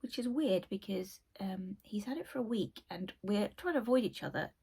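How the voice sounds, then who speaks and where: A woman's voice: mid-range voice, lively intonation, normal speed, creaky, a little mellow, husky. A young woman with a cold, hosting a book channel and chatting casually about her favourite reads, rambling with lots of asides. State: croaky from a cold. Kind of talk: casual book review chat.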